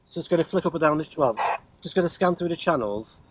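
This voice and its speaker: A man's voice: on the high side, animated, fast. A man chatting casually and unscripted as he demonstrates radio gear, hesitant and thinking aloud as he goes. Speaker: radio hobbyist vlogger demonstrating CB radio. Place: inside a car. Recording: faint vehicle noise behind the voice.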